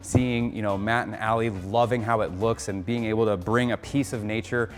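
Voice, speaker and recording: A man's voice: on the low side, steady tone, normal speed, long reverb tail, soft background music. An American man hosting a casual hobby vlog, upbeat and coaching, explaining each step plainly. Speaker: aquarium hobbyist host.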